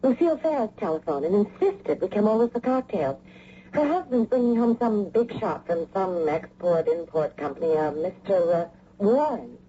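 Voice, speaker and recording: An actress playing a brisk, bossy, worried wife who presses her point in a radio drama. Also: mid-range voice, lightly acted. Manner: bossy, insistent, animated. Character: brisk, insistent, worried wife in a spy mystery. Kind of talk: 1980 radio drama acting. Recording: muffled old radio recording, faint noise.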